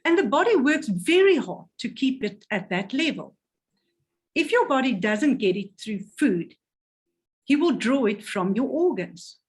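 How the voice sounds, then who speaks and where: A woman with a fairly high, very lively voice talks slowly through a health product presentation. She reads with care, stopping to work through hard technical words. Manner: careful, stumbles over technical words.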